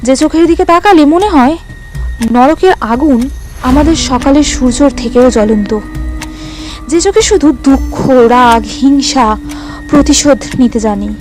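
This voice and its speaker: High-voiced woman narrating horror, suspenseful. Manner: suspenseful, theatrical delivery with dramatic pauses. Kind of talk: dramatic first-person horror story narration. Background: music.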